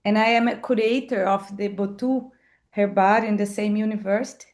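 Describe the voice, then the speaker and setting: A woman's voice: medium pitch, steady tone, a little fast, a little mellow. Brazilian woman, hesitant introduction. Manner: hesitant, with many fillers and self-corrections. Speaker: professor and herbarium curator. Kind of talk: self-introduction on a webinar. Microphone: video call.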